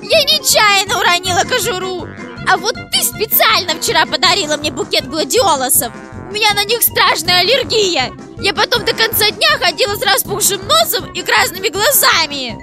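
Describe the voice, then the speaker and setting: A woman's voice: very high-pitched, expressive, normal speed, music in the background. A woman voicing a defensive, hot-tempered female monkey in a children's puppet show, whining and defiantly protesting. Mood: aggrieved.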